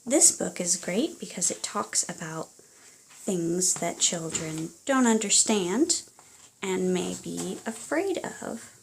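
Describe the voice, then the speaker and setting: A woman reading aloud for children and parents, in an expressive, deliberate storytelling manner. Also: fairly low pitch, gravelly, a little hiss or hum, poor audio. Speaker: storybook reader.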